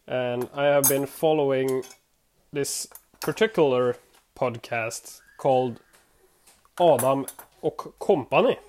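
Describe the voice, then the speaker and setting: Slow, halting Swedish-accented podcaster. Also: mid-range voice, a little mellow, a little hiss or hum. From Sweden. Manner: halting, searches for English words, chuckles. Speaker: podcast host. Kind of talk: casual podcast monologue.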